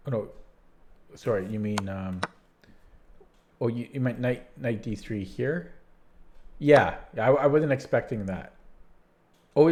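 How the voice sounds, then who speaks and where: A man's voice: medium pitch, a little mellow, slight background noise. Male streamer, late-night, fatigued and rambling, voice fading. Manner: tired, rambling, many restarts and fillers. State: losing his voice. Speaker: streamer solving chess puzzles. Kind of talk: casual live-stream chat.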